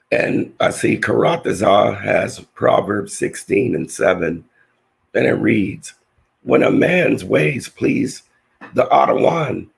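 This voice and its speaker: A man with a hoarse, nearly lost voice, slowly reading Bible verses and teaching a scripture lesson with halting restarts. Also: fairly low pitch. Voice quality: raspy, gravelly.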